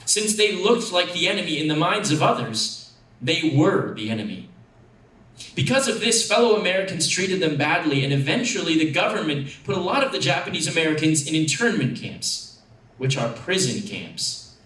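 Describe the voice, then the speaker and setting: A man giving a dramatic first-person short story narration with expressive, deliberate phrasing. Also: a little high. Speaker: actor reading a story. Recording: echoey room, distant mic.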